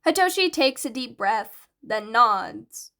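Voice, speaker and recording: Fairly high-voiced woman narrating fiction. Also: big pitch swings, takes it slowly, pushed and bright. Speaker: podfic narrator. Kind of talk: scripted fan fiction narration.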